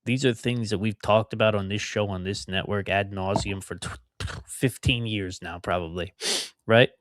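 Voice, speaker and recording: A man's voice: fairly low pitch, fairly even intonation, normal speed, dry recording. A middle-aged man from outside Philadelphia hosting a solo podcast, talking in a rambling, conversational way with emphatic repetitions. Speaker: podcast host.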